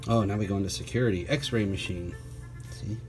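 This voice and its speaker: A man reading a children's picture book aloud as a teacher, pausing for conversational asides and questions to his young listeners. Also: on the low side, a little mellow, faint music behind.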